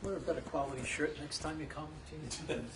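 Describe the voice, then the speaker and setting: A man's voice: fairly high pitch, steady tone, a little fast, noisy background. A man organizing a club meeting, giving members casual, directive instructions with some fillers.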